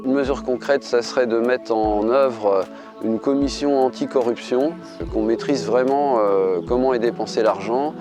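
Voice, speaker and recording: A man in his fifties making a steady, matter-of-fact campaign statement. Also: mid-range voice, normal speed. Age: 50s. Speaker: retired trade unionist, election candidate. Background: music.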